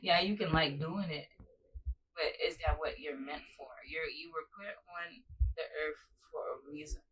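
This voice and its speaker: A woman's voice: low voice, steady tone, a little slow, fairly bright tone. A young woman speaking as a daughter in a casual family chat, giving emphatic, motivational advice. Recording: distant mic with faint noise.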